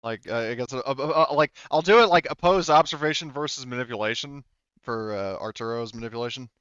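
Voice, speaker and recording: A man's voice: medium pitch, a little fast, fairly bright tone, clear, resonant tone, no room sound. A man acting as game master, narrating and ruling casually while thinking aloud, on slightly dull audio.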